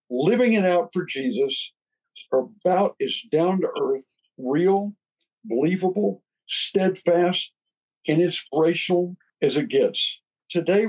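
A man's voice: fairly high pitch, a little mellow, husky, poor audio. A man from Texas with a Texan accent, co-hosting a podcast in a folksy, enthusiastic way. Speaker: podcast co-host.

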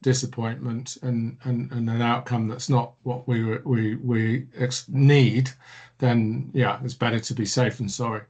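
A man's voice: mid-range voice, level tone, measured pace, a little mellow, breathy edge. Middle-aged male host, conversational, nods along, mid-range voice. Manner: agreeable, asks leading follow-up questions. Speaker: interviewer host. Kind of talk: conversational interview.

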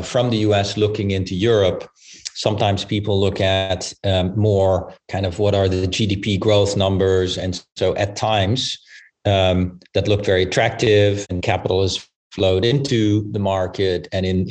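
A man speaking as an interview guest on a business podcast, thinking aloud in a halting, discursive way. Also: on the low side. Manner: halting, discursive, frequent "you know" tags. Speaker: investment firm partner, panel guest.

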